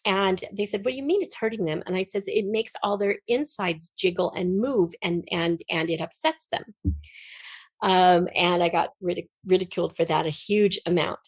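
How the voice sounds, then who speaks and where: Lower-pitched woman telling her story. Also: moderate pace, breathy edge, no echo. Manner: expressive storyteller, acts out remembered dialogue. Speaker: interview guest, writer. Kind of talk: personal storytelling in conversation.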